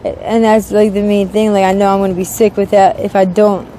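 A woman in her thirties talks casually in an outdoor interview. Her voice is soft-edged and mellow, her delivery hesitant and broken by filler words. Faint street and vehicle noise sits behind her. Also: medium pitch, level tone, low-quality recording. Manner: hesitant, halting, peppered with "like". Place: outdoors on a city street by a brick wall.

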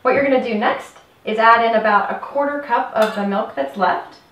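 A woman's voice: a little high, moderate pace, fairly bright tone, a little hiss or hum. Upbeat woman coach in an echoey kitchen. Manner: upbeat, instructional, addresses viewer directly. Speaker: health coach. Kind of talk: cooking demonstration. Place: home kitchen, indoors. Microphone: camera mic at a distance. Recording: roomy kitchen from a distance.

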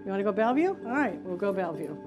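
A woman's voice: medium pitch, sing-song, racing, dull audio. Woman telling her story, earnest and chatty, music under it. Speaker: support group founder. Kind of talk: documentary interview.

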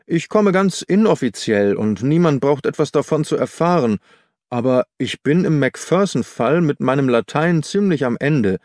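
A man's voice: a little high, animated, moderate pace, darkish tone, no echo, studio quality, close to an everyday voice. A man reading as a solid, worried police inspector.

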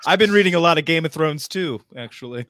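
A man's voice: a little high, animated, fast talker, fairly bright tone. A man chatting casually with friends on a group video call. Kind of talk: casual chat.

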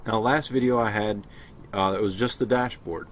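Casting: man, low register, informal tech tutorial presenter, hesitant think-aloud delivery, slight background noise. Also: normal speed. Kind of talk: casual screen-share walkthrough. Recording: clear steady background noise.

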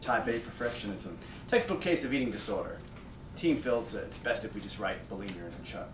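A man playing a harried, blunt hospital doctor in a staged reading, halting and pressing with questions. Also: on the low side, expressive, distant mic, close to an everyday voice. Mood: halting, defensive. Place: theatre stage, indoors. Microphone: far camera. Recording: roomy, noisy stage recorded from afar.

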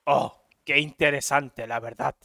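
A man's voice: mid-range voice, steady tone, measured pace, gravelly, sharp tone, no echo, clean studio sound. A young man on a headset mic, reacting to a dating show with teasing, sarcastic commentary. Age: young adult. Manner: teasing, sarcastic, laughs often. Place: indoor room.

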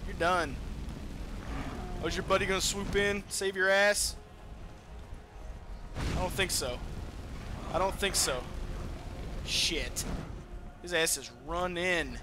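A man giving casual let's-play game commentary in an excitable, foul-mouthed manner. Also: high-pitched, takes it slowly, long pauses, noisy background. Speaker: gamer playing and commentating.

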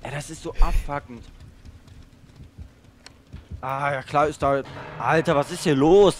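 A man's voice: on the high side, unhurried, a little hiss or hum. A young man playing a horror game with a friend, chatting casually and swearing, yelping when he gets chased. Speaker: gamer co-op player. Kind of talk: casual let's play chat.

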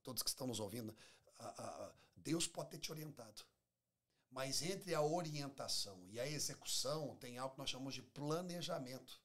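A Brazilian pastor in his forties speaks as a studio guest, in a medium-pitched, somewhat husky male voice. He preaches conversationally and emphatically, repeating key phrases and voicing little dialogues. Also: lively intonation, moderate pace, fairly bright tone. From Minas Gerais, Brazil. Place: small podcast studio. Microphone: close studio microphone on table stand.

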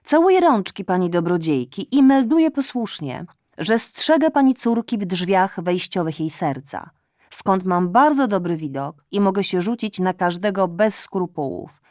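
A woman's voice: medium pitch, very animated, normal speed, a little mellow, dry recording, clean studio sound, natural voice. A woman voicing a servile, cheeky male talking cat in a spoken story. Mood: fawning.

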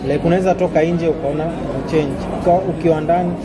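A man's voice: fairly high pitch, fairly even intonation, quick pace, over a noisy crowd. Young man explaining camera settings. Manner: explaining, mixes English and Swahili.

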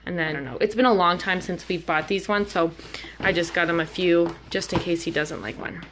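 A young woman chats in an unscripted vlog style. Her voice sits a little low, and she rambles hesitantly from thought to thought. The handheld home recording has noticeable background noise. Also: fairly even intonation, a little fast. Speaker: vlogger. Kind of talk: casual grocery haul narration, unscripted. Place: indoors at home. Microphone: handheld camera, held by speaker. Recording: clear background noise, handling clicks.

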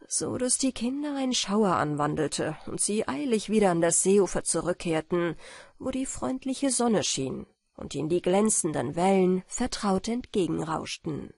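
A woman reading a bedtime fairy tale as an audiobook narrator, in measured and expressive storytelling. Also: lowish voice, vocal fry, no echo, studio quality.

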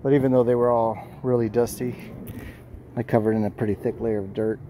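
A man giving a casual hands-on update as a video host, talking in an informal, halting, rambling way. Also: mid-range voice, level tone, background noise. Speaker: tech video maker, host.

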